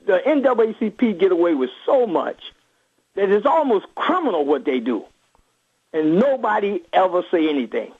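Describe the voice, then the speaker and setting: A man with a rough-edged voice and widely swinging pitch speaks as a guest on a radio call-in. He is emphatic and repeats his phrases for force. The sound is narrow and phone-like. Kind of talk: impassioned political interview talk. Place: on a phone line to a radio show.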